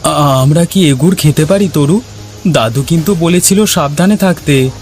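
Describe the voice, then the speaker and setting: A man's voice: a little high, a little slow, darkish tone, faint music behind, natural voice. A man voicing a timid, anxious village boy in a cartoon, hesitant and prone to stammering. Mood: frightened. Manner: hesitant, stammering when afraid. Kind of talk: cartoon character dialogue.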